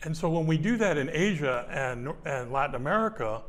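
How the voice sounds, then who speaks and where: A man's voice: on the high side, expressive, fairly quick, a bit bright. A man in his sixties speaking as an expert guest in a studio interview, arguing his point with passion and emphasis. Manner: gestures broadly while talking. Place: small indoor studio.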